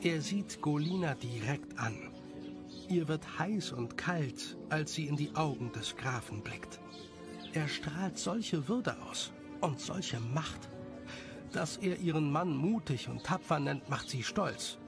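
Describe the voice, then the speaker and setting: A man reads scripted story narration. His voice sits on the high side and has a noticeable creak. Music plays clearly behind him. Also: animated, slow.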